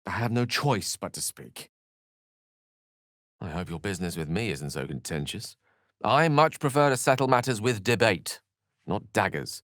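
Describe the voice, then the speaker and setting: An actor playing an erudite, wry and courteous wizard in a video game, with eloquent, precise, theatrical phrasing. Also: male voice, on the low side, animated, moderate pace, pauses often, fairly bright tone, dry recording, studio quality. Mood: measured. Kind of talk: video game character acting.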